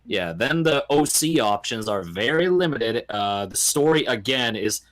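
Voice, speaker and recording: A man chatting casually and giving animated, rambling, opinionated takes with mimicked quotes. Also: mid-range voice, measured pace. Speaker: podcast guest or panelist. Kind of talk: casual opinionated chat about video games.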